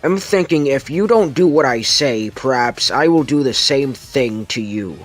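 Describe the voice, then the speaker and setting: Male actor as brutal crime boss, slow deliberate threats, swooping pitch, pompous, amateur cartoon dub. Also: animated, faint music behind. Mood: menacing. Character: menacing brutal villain boss interrogating a captive. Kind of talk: amateur comic dub voice acting.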